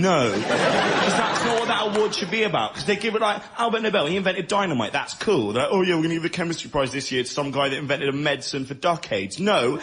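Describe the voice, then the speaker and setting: A man's voice: fairly high pitch, very noisy. A man delivering an indignant, emphatic comic rant as a panel show guest.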